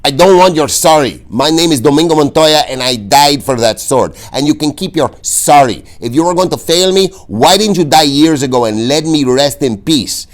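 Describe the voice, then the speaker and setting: A man voicing the bitter, vengeful ghost of a dead father, loud and accusing, in a storybook reading. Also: on the high side, expressive, normal speed, hardly any pauses, voice pushed up, natural voice. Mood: furious.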